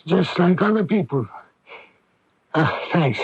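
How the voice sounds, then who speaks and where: An actor playing a weary, kindly older family doctor who grumbles in an avuncular way, in a radio drama. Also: male voice, on the high side, expressive, slow pace, slightly rough, no room sound, exaggerated voice. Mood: weary, grumbling. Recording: dull lo-fi vintage radio broadcast.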